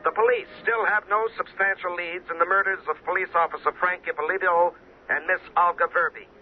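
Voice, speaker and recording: An actor playing a formal, deliberate newsreader giving a bulletin within an old-time radio drama. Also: male voice, high voice, steady tone, close to an everyday voice. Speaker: news announcer. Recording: muffled old radio recording, faint noise.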